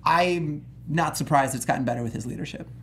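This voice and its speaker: Male panel host, higher register, opinionated and emphatic, casual slang, some background noise. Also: fairly quick, a bit bright. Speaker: gaming talk show host. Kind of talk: casual panel discussion, news commentary.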